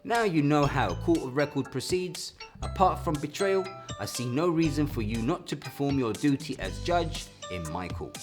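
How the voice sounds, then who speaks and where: A man tells his court story in a casual vlog, agitated and fond of acting out quotes. His voice sits a little high. The room is echoey, with music playing underneath. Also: lively intonation, moderate pace, hardly any pauses. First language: English. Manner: agitated, swears, acts out quotes. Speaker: vlogger.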